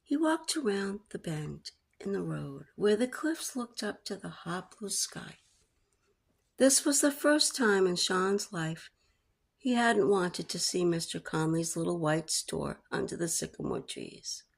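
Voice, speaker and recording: A woman's voice: on the low side, expressive, measured pace, creaky, husky. A woman reading a story aloud as a storyteller, in narration style.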